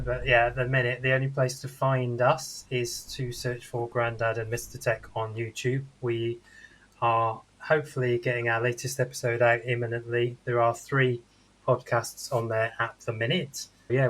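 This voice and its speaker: A man speaks as a guest in casual podcast chat. His voice is mid-pitched and stays very even, with little melody. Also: poor audio. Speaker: podcast guest, co-host of a gaming podcast.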